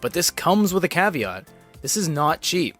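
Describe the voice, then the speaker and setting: A man's voice: on the high side, sing-song, normal speed, fairly bright tone, faint music behind. A man narrating a scripted product review as a tech reviewer, explaining technical terms plainly and dropping wry, casual asides.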